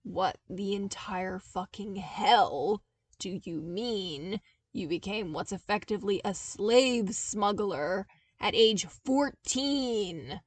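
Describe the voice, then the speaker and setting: Hot-tempered young military man performed by a female reader, incredulous and emphatic, slow stressed words, audiobook drama. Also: medium pitch, animated, husky, dry recording, recorded from a distance. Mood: shocked. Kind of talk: audiobook character dialogue.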